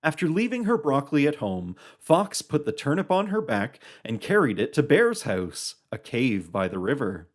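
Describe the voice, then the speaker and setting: A narrator reading a scripted children's puppet show story with an expressive storybook delivery. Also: medium pitch, normal speed, few pauses.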